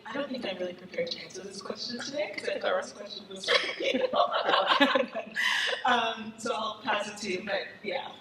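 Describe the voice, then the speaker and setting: A woman's voice: mid-range voice, moderate pace, pauses often. A woman panelist speaking in a joking, laughing way. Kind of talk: panel discussion. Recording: echoey hall, distant mic, noisy.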